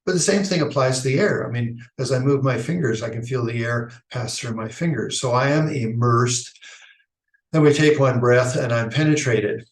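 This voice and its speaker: Reflective man in an echoey room. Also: medium pitch. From Idaho, United States. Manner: thoughtful, halting, with frequent restarts and fillers. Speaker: Buddhist teacher. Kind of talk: unscripted dharma talk.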